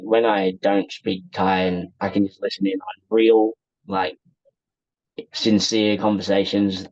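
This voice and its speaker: A man's voice: deep voice, darkish tone, no echo. A young man, chatting easily as an interview guest, rambling with restarts, on a dull lo-fi recording. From Australia. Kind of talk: casual conversation.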